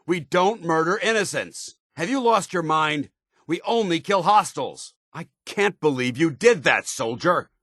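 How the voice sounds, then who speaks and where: An actor playing a stern, loyal soldier in a video game, speaking in a clipped, military, commanding manner. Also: male voice, on the high side, lively intonation, a little slow, fairly bright tone, no room sound, clean studio sound. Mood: angry, outraged. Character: stern, loyal male soldier, zealous armored paladin companion. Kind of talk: scripted video game companion dialogue.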